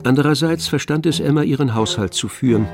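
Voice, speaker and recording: A man narrating a literary radio play in a measured, composed manner. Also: mid-range voice, over music.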